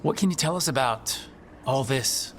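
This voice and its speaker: A man's voice: mid-range voice, normal speed, fairly bright tone, soft background music, natural voice. A man playing an earnest young hero and party leader in a fantasy game, direct and plain-spoken. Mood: questioning.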